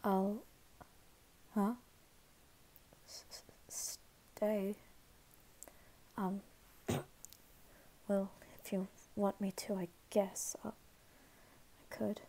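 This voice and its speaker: A woman voicing a flustered, guarded but caring teenage anime boy in an ASMR roleplay, halting and stammering, sometimes whispering. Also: medium pitch, little pitch movement, warm tone, rough recording. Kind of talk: ASMR roleplay, soft scripted acting.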